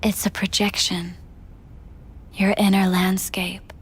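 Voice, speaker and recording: An actress playing an enigmatic, soothing female companion in a cyberpunk video game, speaking in a hushed, breathy, deliberate way. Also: mid-range voice, flat delivery. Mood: hypnotic. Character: enigmatic, soothing young female companion who probes minds. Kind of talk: video game dialogue acting.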